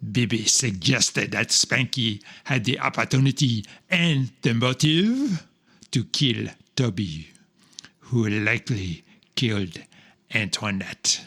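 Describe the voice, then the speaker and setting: A man announces a dramatized serial like an old radio narrator. His delivery is extremely slow and deliberate, broken into short phrases with weighty pauses. The pitch sits in an ordinary middle range for a man. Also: expressive, a bit bright, breathy edge.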